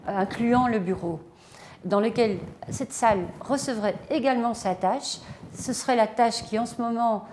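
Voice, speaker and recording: A woman's voice: medium pitch, darkish tone. Hesitant woman in a reverberant gallery. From Belgium. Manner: reflective, hesitant with many fillers and restarts. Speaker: artist touring her exhibition. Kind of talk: spontaneous gallery walkthrough. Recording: reverberant gallery room, faint noise.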